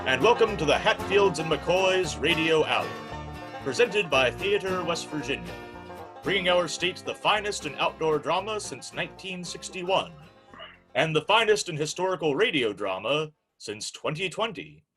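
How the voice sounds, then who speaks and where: A man's voice: fairly high pitch, animated, normal speed, over music, lightly acted, poor audio. A man playing a formal, courteous, easily flustered host of an old-time radio show, with theatrical delivery. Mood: formal, welcoming.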